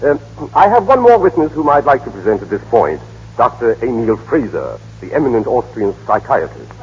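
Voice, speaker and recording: An actor playing an insistent, smooth prosecuting lawyer in an old radio drama, with formal, emphatic theatrical delivery. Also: male voice, mid-range voice, very animated, brisk, a little mellow, full character voice. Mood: politely smooth. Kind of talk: 1930s-40s radio drama acting. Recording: muffled, noisy old radio broadcast.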